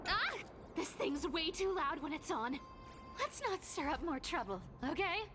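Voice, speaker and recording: A woman's voice: extremely high pitch, very animated, measured pace, exaggerated voice. A woman voicing a sly, playful, vain thief boss in a video game, with a teasing, haughty manner. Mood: annoyed. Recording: loud game music over the voice.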